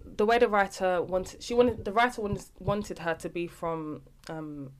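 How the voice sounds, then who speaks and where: A woman's voice: fairly low pitch, unhurried, a little hiss or hum. A young woman, a podcast guest telling the hosts about her acting work in a casual chat and often restarting her sentences. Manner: talkative, restarts sentences while explaining.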